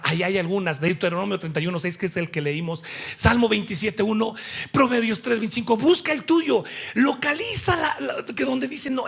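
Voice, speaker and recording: A middle-aged or older man with a Mexican accent preaching an animated, conversational sermon, emphatic and playful as he acts out bits of dialogue. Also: sits high, creaky, breathy edge. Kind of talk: animated sermon with anecdotes and Bible quotations.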